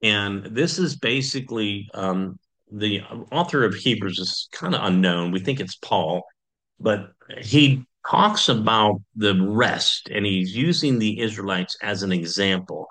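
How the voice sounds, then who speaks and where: A man, a pastor, preaching a sermon in a conversational way, with frequent pauses and fillers. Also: fairly low pitch, expressive, fairly bright tone.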